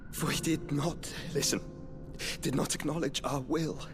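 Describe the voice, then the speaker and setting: Gentle dying brother for a game drama, acted by a man: solemn, halting, near-whispered, slow delivery over swelling music. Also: fairly high pitch, fairly even intonation, close to an everyday voice. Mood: earnest, fading. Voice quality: breathy, often dropping to a whisper. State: weak, strained, fading. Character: gentle dying younger brother, earnest and devout. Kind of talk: scripted game cutscene acting.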